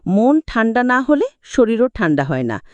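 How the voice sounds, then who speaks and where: A woman's voice: fairly high pitch, big pitch swings, moderate pace, no room sound, highs cut off. A woman reading a scripted, dramatic retelling of a film as a movie explainer. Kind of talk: scripted dramatic story narration.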